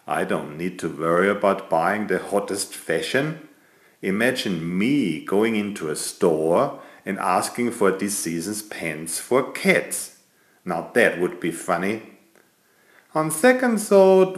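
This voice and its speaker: Man as a vain tomcat storyteller, slow and animated. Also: on the low side, roomy sound, exaggerated voice. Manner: playful, self-satisfied, addressing small children. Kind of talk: children's bedtime story narration.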